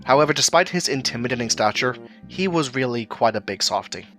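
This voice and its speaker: A man's voice: medium pitch, lively intonation, fairly quick, fairly bright tone. A man narrating a scripted audio drama story. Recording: roomy echo, music underneath. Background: background music.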